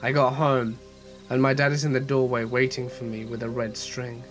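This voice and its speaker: A man narrating horror stories as a channel host, reading in a measured, steady way with a voice slightly off from a cold. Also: medium pitch, soft background music. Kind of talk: scripted horror story narration.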